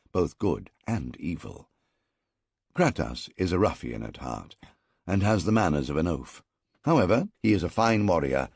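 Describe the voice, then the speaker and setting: A man narrating an audiobook in the first person, in a measured, wry storytelling manner. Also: rumbling low, breathy edge, dry recording, clean studio sound.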